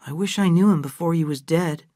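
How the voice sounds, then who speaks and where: A man voicing a gentle, tender young boy. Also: a little high, fairly quick, dry recording, studio quality, voice pushed up, lightly acted.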